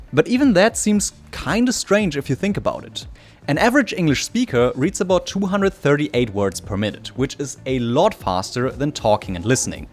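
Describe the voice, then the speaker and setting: A man from Germany, a native German speaker, narrating a scripted video essay as its presenter. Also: fairly high pitch. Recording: music behind the voice. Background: soft background music.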